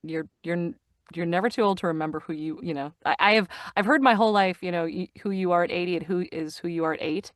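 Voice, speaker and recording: A woman's voice: fairly low pitch, no echo. Middle-aged woman guest, bubbly and laughing, dry close studio sound. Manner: bubbly, laughs a lot, halting with fillers. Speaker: guest, voice actor and narrator. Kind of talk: casual video-call interview. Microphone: video call from a home recording studio.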